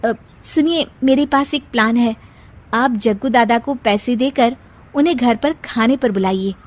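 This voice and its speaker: Woman acting a young daughter-in-law, raised high pitch, earnest, exclamatory, cartoon moral tale. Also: a little slow. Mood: earnest, determined. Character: worried but resourceful young village daughter-in-law.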